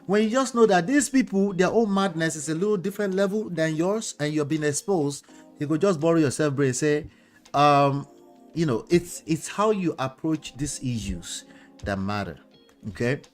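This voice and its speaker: A man's voice: on the high side, expressive, normal speed, soft background music. A man with a Nigerian accent, a relationship coach giving casual commentary on viewer comments, speaking emphatically and often ending points with tag questions. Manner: emphatic, with frequent tag questions like "okay?" and "you know".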